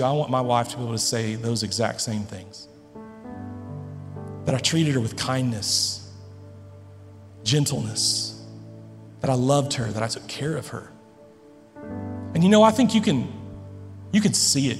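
Earnest male pastor in a reverberant hall. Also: medium pitch. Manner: earnest, emphatic preaching with dramatic pauses. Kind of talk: sermon. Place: large church hall.

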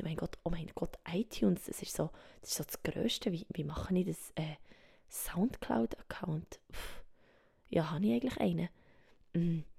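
A Swiss woman speaking German with a Swiss German accent, hosting a reflective solo podcast, thinking aloud softly and often dropping to a whisper. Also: lowish voice, gravelly, breathy edge. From Switzerland. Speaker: podcast host. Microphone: home microphone.

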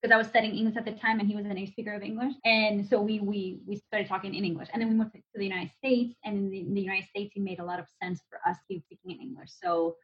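A woman's voice: medium pitch, fairly even intonation, very fast, few pauses. A woman in her thirties who is an assistant professor, chatting easily with small restarts. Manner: easygoing, with small laughs and restarts. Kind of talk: casual conversational explanation. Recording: slightly muffled lo-fi recording.

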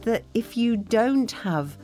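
A woman's voice: fairly high pitch, animated, slow pace, dark tone, soft background music. A woman guest speaking earnestly and thanking the host graciously.

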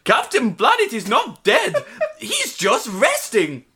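Man putting on a slow, unbothered foreign suspect. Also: very high voice, wildly swooping pitch, sharp tone, slightly rough, voice pushed up, exaggerated voice. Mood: calm assurance. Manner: slow, deliberate, assured. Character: calm foreign male suspect, confused and unbothered. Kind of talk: comic amateur story reading.

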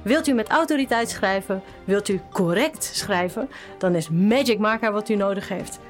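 A woman's voice: mid-range voice, moderate pace, soft background music, close to an everyday voice. A woman playing a persuasive advertiser proclaiming a product in a mock commercial for a radio play. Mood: salesy, grand. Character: grandiose advertiser selling a magic marker, woman.

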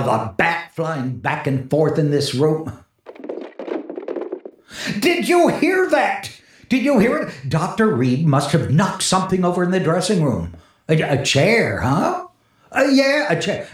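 An actor playing a frightened, lonely disc jockey in 1940s radio horror, stammering nervously and rambling to himself. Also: male voice, fairly high pitch. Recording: echoey vintage 1940s radio recording with music.

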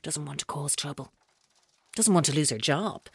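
An actress playing a controlling, taunting female captor in an audio drama, speaking in a deliberate, coaxing way. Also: deep voice, creaky, breathy edge, dry recording, exaggerated voice. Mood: accusing, controlled. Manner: deliberate, coaxing, coldly mocking. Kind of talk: audio drama acting, monologue-like.

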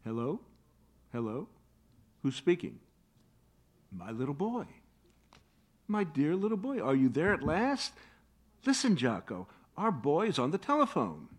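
A man's voice: on the high side, wildly swooping pitch, a little slow, long pauses, exaggerated voice. A man reading the part of a fretful, doting wife and mother in a staged play reading, pleading and emotional.

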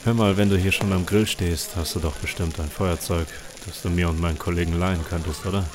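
A man's voice: sits low, fairly quick. A man who co-hosts a comedy podcast, speaking expressively in acted sketches and banter. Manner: animated, expressive. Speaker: podcast co-host and sketch voice actor.

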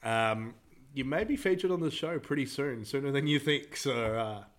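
A man's voice: medium pitch, lively intonation, normal speed. A young Australian man co-hosting a casual podcast, laid-back with mock-serious asides. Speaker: podcast co-host. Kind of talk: casual chat.

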